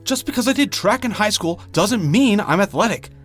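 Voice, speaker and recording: A man playing a teasing, warm-hearted young friend in an audio roleplay, conversational and given to playful grumbling. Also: high-pitched, lively intonation, normal speed, soft background music. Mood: indignant. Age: young adult. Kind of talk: audio roleplay acting, addressing the listener.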